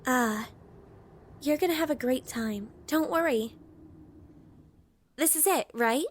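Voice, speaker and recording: A woman playing a kind, upbeat young friend in an audio drama, gentle and reassuring. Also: high-pitched, slow, slight background noise. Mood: caring, cheerful. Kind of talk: casual dialogue in a fan audio drama.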